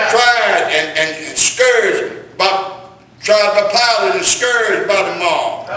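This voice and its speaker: A man's voice: high voice, sharp tone. An older man preaching a church sermon in an emphatic, declamatory way, building through repeated refrains. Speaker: preacher, guest reverend. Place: large church sanctuary, at a pulpit. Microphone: far camera in the congregation. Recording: echoey church hall, distant mic, congregation noise.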